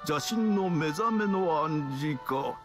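Old king, solemn, formal archaic speech, dramatic swells, unhurried, RPG cutscene, faint music. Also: fairly high pitch, expressive. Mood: ominous, measured. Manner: grave, formal, archaic old-man phrasing. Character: solemn, stately elderly kings in council.

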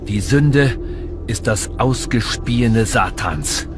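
Stern zealous puritan preacher from 1692, grave declamatory delivery, documentary w/ music behind. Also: male voice, mid-range voice, animated, takes it slowly. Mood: fierce preaching. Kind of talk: dramatised reading of historical writings in a documentary. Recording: music underneath.

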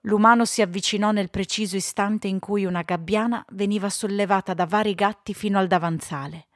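A woman's voice: mid-range voice, fairly even intonation, brisk, no echo, studio quality. A woman reading a children's novel as scripted audiobook narration.

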